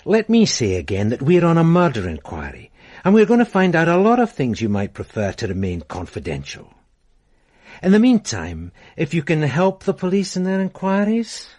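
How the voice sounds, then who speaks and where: A blunt, probing police sergeant. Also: male voice, fairly high pitch, moderate pace. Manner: probing, blunt, lively delivery. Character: persistent police sergeant, middle-aged man. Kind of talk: radio drama acting.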